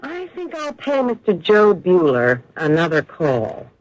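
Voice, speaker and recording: Radio detective: slightly high, animated, emphatic, businesslike, muffled vintage sound. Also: male voice, unhurried, heavily acted. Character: brisk, speculating police detective on a case. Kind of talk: old-time radio crime drama acting. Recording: dull vintage radio recording.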